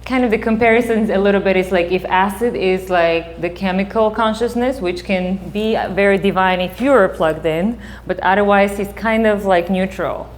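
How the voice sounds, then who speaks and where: A woman in her forties with a Bulgarian accent, a psychotherapist giving a casual public talk, animated and laughing often. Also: medium pitch. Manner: animated, laughs often, quick bursts of excitement.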